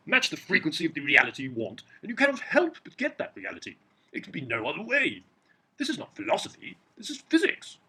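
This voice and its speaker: A woman voicing an eccentric, smug, pedantic know-all in a comedy reading, with a raspy, creaky voice. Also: on the low side, sharp tone, full character voice, low-quality recording. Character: eccentric pipe-smoking know-all inner personality, cheeky and smug.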